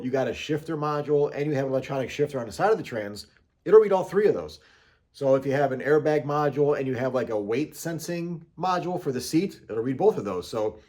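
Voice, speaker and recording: A man's voice: medium pitch, darkish tone. An American man hosting a casual product walkthrough, explaining step by step in a plain-spoken, matter-of-fact way. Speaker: host of tool review and how-to videos.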